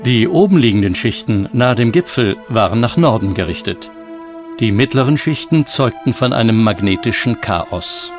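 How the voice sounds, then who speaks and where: A man's voice: medium pitch, lively intonation, moderate pace, over music. A man delivering scripted narration for a documentary.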